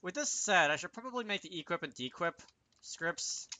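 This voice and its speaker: A man's voice: high-pitched, lively intonation, moderate pace, sharp tone, no echo. A man hosting a casual programming tutorial, thinking aloud, muttering to himself and correcting himself as he works, close to a desk microphone. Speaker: host of a game programming tutorial.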